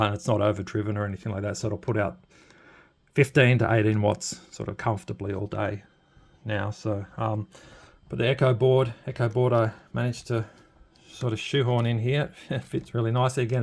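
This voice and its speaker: A man with a fairly low voice presents a hands-on walkthrough in a casual, unscripted way. He thinks aloud and halts between phrases as he points things out. He is speaking indoors at a workbench. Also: gravelly, a little mellow. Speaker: presenter showing his radio modifications.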